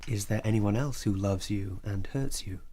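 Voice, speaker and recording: An actor playing a patient psychiatrist in an audio drama, speaking in a measured, gently probing way with a husky voice. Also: male voice, lowish voice, lightly acted.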